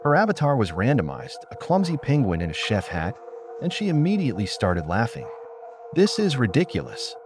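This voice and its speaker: A man's voice: mid-range voice, huge pitch swings, fairly quick. A man narrating a scripted first-person story as an audiobook. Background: music.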